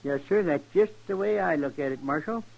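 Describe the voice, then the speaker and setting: A mild frontier farmer, patient and meek. His fairly high voice has a folksy, rustic way of dodging, in 1950s radio western style. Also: fairly quick, vocal fry, darkish tone, lo-fi sound. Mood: mild, evasive. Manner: rustic drawl, mild, evasive.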